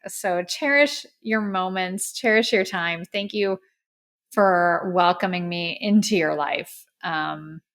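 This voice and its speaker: A woman hosting a solo podcast, a little hoarse, talking in an earnest, effusive, rambling way into a microphone. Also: fairly low pitch, a bit bright. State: slightly hoarse. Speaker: podcast host. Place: in front of a camera. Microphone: studio microphone.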